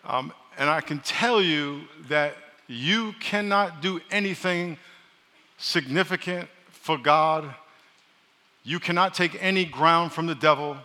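Loud, bright-voiced preacher in a big hall. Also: male voice, a little high, takes it slowly. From New York. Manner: loud, joking, calls for congregation responses. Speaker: pastor. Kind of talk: sermon. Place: large church hall. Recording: live sermon in a reverberant hall.

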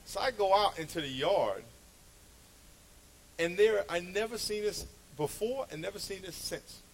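A male preacher giving a conversational, anecdotal sermon, speaking in an animated, emphatic way and repeating phrases to make his point. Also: high voice, measured pace, a little hiss or hum, rough recording.

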